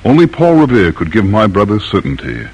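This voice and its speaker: An actor playing a grave, eloquent colonial-era doctor and patriot in an old radio drama, speaking in a measured, solemn way. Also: male voice, on the low side, huge pitch swings, a little mellow, slightly rough, full character voice, rough recording. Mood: solemn reminiscence.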